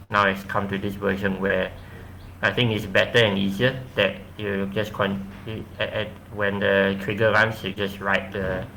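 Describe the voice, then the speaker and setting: A man answering questions after his own tech presentation over a video call, explaining haltingly with frequent restarts. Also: sits low, sharp tone, a little hiss or hum, poor audio. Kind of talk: casual tech talk Q&A.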